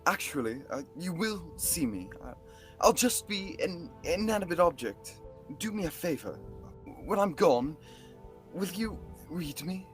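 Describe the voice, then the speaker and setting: A young woman acting a sardonic sentient time-traveling book in radio theatre, with wry, deadpan quips. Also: on the low side, unhurried. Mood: solemn farewell. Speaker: student radio theatre actor. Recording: music behind the voice.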